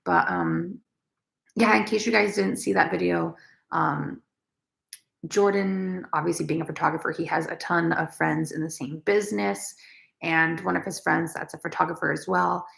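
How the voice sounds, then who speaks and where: A young woman with a naturally raspy voice, chatting casually with viewers on a crochet livestream and rambling with lots of fillers. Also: on the low side, fairly even intonation, normal speed, a bit bright. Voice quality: raspy, gravelly. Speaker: crochet vlogger. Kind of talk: casual livestream chat, reading comments.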